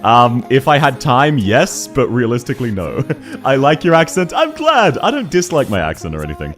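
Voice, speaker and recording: Aussie guy streaming games, talks super fast, bouncy voice, chuckles constantly, bit of music quietly behind. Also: medium pitch. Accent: Australian, unusual variety. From Australia. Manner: fast-talking, laughs often, animated. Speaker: game streamer. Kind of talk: casual live-stream chat, reading chat aloud while playing.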